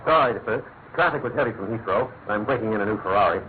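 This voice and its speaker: Man as brisk suave secret agent, tinny sound. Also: on the low side, lightly acted. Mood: smug, polite. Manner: cool, polite, self-assured. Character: suave, self-assured male secret agent. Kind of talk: radio drama acting. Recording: tinny phone-like audio with faint noise.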